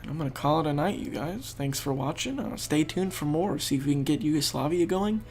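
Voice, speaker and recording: Young male gaming host, congested and gravelly, rambling play-by-play. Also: on the high side, animated, a little fast, darkish tone. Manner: rambling, thinking aloud while playing. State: congested, losing his voice. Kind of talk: casual gameplay commentary.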